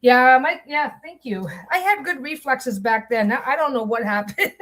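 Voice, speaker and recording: A woman's voice: high-pitched, normal speed, fairly bright tone. A middle-aged woman talking casually while she sews, telling a long meandering story with many restarts and distracted asides. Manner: chatty, meandering storytelling with frequent restarts and self-interruptions; distracted asides about her sewing. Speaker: vlogger sewing on camera. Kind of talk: rambling casual chat while sewing.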